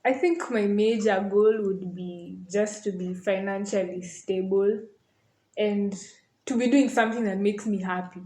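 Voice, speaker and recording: A woman's voice: mid-range voice, fairly even intonation, unhurried, dark tone. A woman of about twenty with a Kenyan accent, talking casually as a podcast panelist. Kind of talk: casual group chat. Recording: echoey room.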